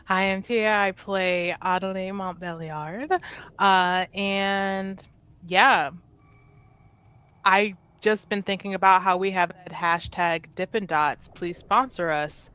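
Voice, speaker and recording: A woman's voice: medium pitch, fairly even intonation, takes it slowly, fairly bright tone, clean tone. A woman chatting casually and playfully as a player on a tabletop game stream. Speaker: player on an actual play show.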